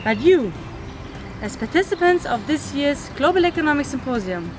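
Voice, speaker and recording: Lively young woman presenting over music. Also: high-pitched, moderate pace. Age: young adult. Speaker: student presenter. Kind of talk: scripted appeal.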